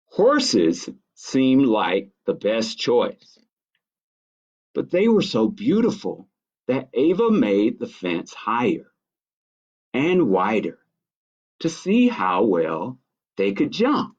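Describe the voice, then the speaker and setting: A man reads picture books aloud for young children in an expressive storytime style. His pitch swings widely while his pace stays leisurely, and he adds small asides about the pictures. The recording is dull and lo-fi. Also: slow pace, pauses often. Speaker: library storytime reader. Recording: dull lo-fi audio.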